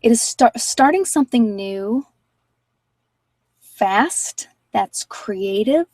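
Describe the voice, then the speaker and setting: A woman giving a casual, halting tarot reading and chatting with viewers as she thinks aloud. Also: fairly high pitch, lively intonation, darkish tone, no echo, recorded from a distance. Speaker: host of a live card-reading session.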